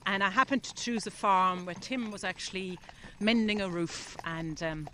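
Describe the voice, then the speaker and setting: A woman's voice: medium pitch, normal speed, fairly bright tone. A woman talks cheerfully in English while driving a horse cart. She is recorded outdoors with faint farm noise in the background. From southern Germany. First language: German. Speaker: interviewee, farmer. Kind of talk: casual interview chat.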